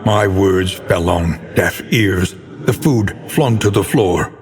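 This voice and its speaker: An actor playing a grim, haunted scholar who narrates cosmic horror in a grave, ornate, deliberate manner for a game. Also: male voice, sits low, faint music behind. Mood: bitter, mournful. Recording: long hall reverb, noisy ambient bed.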